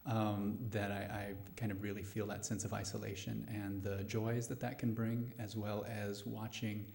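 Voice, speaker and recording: Low-voiced man, thoughtful and halting. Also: flat delivery, creaky, a little mellow. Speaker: playwright answering audience questions. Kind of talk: post-show question and answer talk. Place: live radio play venue before a studio audience.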